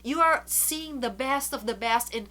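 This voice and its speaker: A middle-aged woman vlogger with a blocked nose from the flu, giving earnest, chatty advice to her camera and slowing down to stress her points. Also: high voice, pushed and bright. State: congested from a flu.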